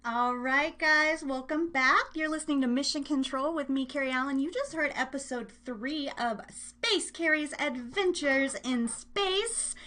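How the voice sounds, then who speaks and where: A woman's voice: high-pitched, measured pace, hardly any pauses, sharp tone. A woman hosting an internet radio podcast, chatting casually to listeners in a rambling, self-deprecating way. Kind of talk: casual live chat to listeners.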